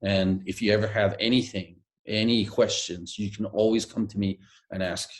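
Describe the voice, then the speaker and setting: A man's voice: lowish voice, fairly even intonation, normal speed. A middle-aged man from Denmark, a guest telling stories in a hesitant, reflective way with many fillers, heard over a video call from a room at home. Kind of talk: reflective conversational storytelling. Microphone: video call webcam.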